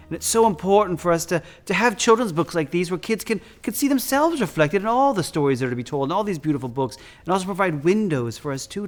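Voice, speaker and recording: A man with a high voice performs as a drag queen storyteller. The delivery is theatrical and effusive, full of campy endearments like a fond stage host. Faint music plays along with the voice. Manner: effusive, campy, often calls listeners "darlings"; dramatic, expressive delivery. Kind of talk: theatrical read-aloud of picture books with chatty asides.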